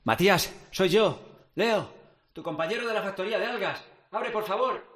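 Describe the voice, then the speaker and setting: A man's voice: high-pitched, lively intonation, normal speed, a bit bright. An actor playing an anxious, indebted working father in a futuristic radio drama, urgent and persuasive, with an accent from Spain. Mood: pleading. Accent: Spanish from Spain. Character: indebted factory worker father, anxious and pleading.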